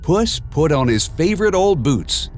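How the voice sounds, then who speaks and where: A man reading a children's storybook aloud in a measured, expressive storytelling manner, with clear and simple phrasing. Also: fairly high pitch, soft background music. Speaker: storybook narrator. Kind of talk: scripted children's read-along storybook narration.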